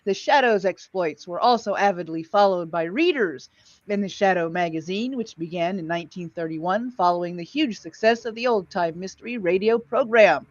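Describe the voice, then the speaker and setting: A casual show host reads aloud with theatrical emphasis on dramatic phrases. The voice sits at a medium pitch, and the recording is dry and slightly dull. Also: normal speed, no room sound. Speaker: host of an old-time radio show stream. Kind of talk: casual live hosting and reading aloud.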